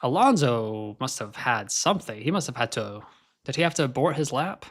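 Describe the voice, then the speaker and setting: A man's voice: medium pitch, lively intonation, normal speed, fairly bright tone. A young man with an American accent, born in Scotland, hosting a casual live stream and chatting while he watches qualifying. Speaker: livestream host.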